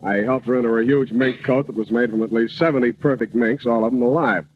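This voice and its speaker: An actor playing a hard-boiled, wisecracking private eye with cool deadpan delivery in an old-time radio comedy. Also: male voice, mid-range voice, fast, lightly acted. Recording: noisy, dull old radio broadcast recording.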